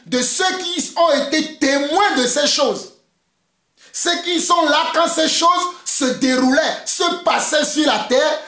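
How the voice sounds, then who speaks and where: A man's voice: very high voice, a bit bright, roomy sound. A man from Togo whose first language is Mina, preaching an emphatic, fiery sermon and repeating his points. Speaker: pastor.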